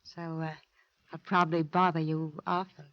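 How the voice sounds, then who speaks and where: A woman's voice: low voice, little pitch movement, moderate pace, natural voice. A woman acting a bold, sly young housekeeper in 1940s radio drama, with an insinuating, teasing, overly familiar manner. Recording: vintage 1940s radio, dull with hiss.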